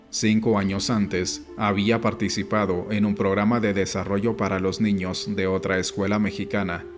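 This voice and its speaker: A man narrates an audiobook in a low voice with very little rise and fall. His reading is measured and formal, and music plays behind him. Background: background music.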